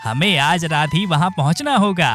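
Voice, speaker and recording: A man's voice: fairly high pitch, moderate pace, bright, forceful tone. A man voicing a greedy, bossy thief leader who scolds and schemes. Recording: background music.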